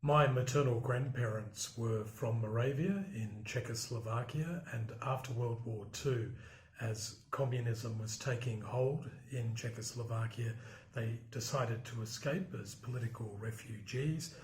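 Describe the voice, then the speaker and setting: Reflective man in an echoey room. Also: mid-range voice. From Australia. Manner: measured, reflective, with frequent ums and restarts. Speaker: interviewee, broadcast journalist. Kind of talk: conversational interview answers.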